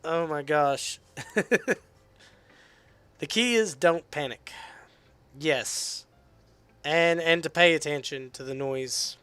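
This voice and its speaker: A hoarse, sick young man chatting casually while livestreaming a game, laughing and answering chat. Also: a little high, slow, pauses often, pushed and bright, no echo. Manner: chatty, laughs often, reads chat aloud. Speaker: streamer. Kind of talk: casual gaming livestream commentary.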